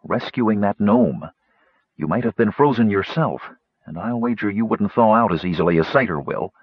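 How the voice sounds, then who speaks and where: A man's voice: fairly low pitch, expressive, moderate pace, husky, dry recording, dull audio. A man voicing a bold, brusque young woman warrior who talks bluntly and teasingly.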